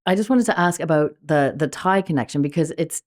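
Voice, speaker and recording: A woman's voice: sits low, brisk. A woman hosting an interview, asking curious, musing questions that she rephrases as she goes. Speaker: podcast host.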